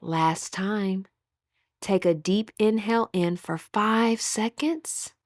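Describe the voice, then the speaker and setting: A woman leading a guided meditation as instructor, speaking in a calm, soothing, measured way with pauses. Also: medium pitch, no room sound, studio quality.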